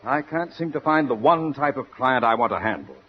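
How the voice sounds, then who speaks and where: Pompous, husky, animated publicity bigshot. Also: male voice, medium pitch, brisk. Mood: grand, weary. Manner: florid, grandiose, formal. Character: pompous, haughty, world-weary celebrity publicity counsel. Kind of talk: 1940s radio comedy acting. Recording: dull old radio recording.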